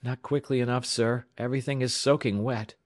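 Man playing an eager, higher-pitched young telegraph operator. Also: fairly even intonation, brisk, no echo, studio quality, close to an everyday voice. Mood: worried. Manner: eager, urgent, respectful. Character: earnest, resourceful young male telegraph operator.

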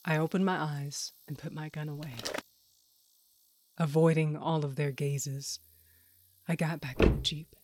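An actress playing a hardened, self-loathing monster hunter, narrating an audio drama in an intense, profane, confessional way. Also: female voice, deep voice, fairly even intonation, measured pace, pauses often, background noise. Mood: bitter, brooding. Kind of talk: first-person audio drama narration with acted dialogue. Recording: music bed behind the voice.

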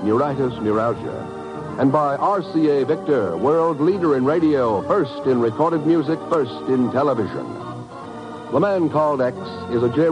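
A man's voice: medium pitch, poor audio. A man announcing in a polished, emphatic 1950s radio style, reading scripted sponsor messages.

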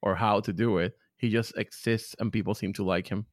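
A man's voice: fairly low pitch, fairly even intonation, fairly quick, no room sound, clean studio sound. Laid-back man in his thirties from Puerto Rico. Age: 30s. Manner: self-deprecating, laid-back, rambling. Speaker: podcast guest, comedian. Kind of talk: casual podcast chat.

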